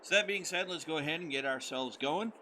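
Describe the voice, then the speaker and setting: Hoarse guy from the us chatting loosely over a farming game, lots of ums, faint hiss and engine noise behind. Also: on the high side, brisk, bright, forceful tone, low-quality recording. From United States. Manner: friendly, rambling, many fillers. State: hoarse, recovering voice. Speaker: gaming channel host. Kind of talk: casual let's-play commentary.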